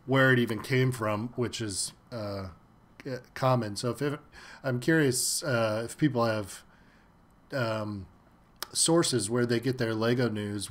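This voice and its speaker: A man hosting a casual live stream from home, slightly stuffy from a cold, chatting loosely with many fillers and greeting viewers by name. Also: on the low side.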